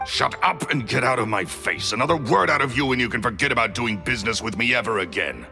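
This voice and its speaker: A man's voice: mid-range voice, expressive, quick pace, creaky, bright, forceful tone, big-room sound, faint music behind, full character voice. An actor playing a hard-nosed, foul-mouthed man near forty in a game, curt and cold. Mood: contemptuous. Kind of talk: game voice acting.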